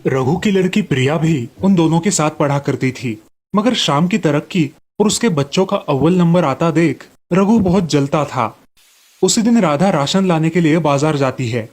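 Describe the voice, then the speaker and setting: A man's voice: on the high side, normal speed, darkish tone. A man reading scripted narration for children's moral stories as the storyteller.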